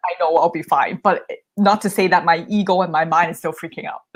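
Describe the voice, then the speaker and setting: A woman's voice: fairly low pitch, moderate pace. A young woman talking candidly about her fears as she gets coached, laughing often and filling her speech with "um" and "like.". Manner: chatty, laughs often, many fillers and restarts. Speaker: podcast host receiving coaching. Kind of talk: candid conversational chat.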